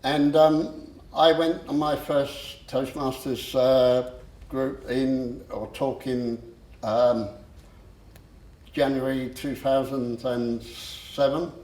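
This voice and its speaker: A man with a stammer giving a personal talk, slow and halting with frequent pauses and fillers. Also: mid-range voice, little pitch movement, a little mellow. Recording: echoey room.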